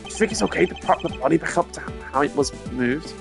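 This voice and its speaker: A man's voice: on the high side, animated, brisk, gravelly, over music, voice pushed up. A man voicing a bumbling, earnest male police detective who stammers and keeps saying sir, in a fan dub of a video game. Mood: puzzled. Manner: stammering, calls everyone sir.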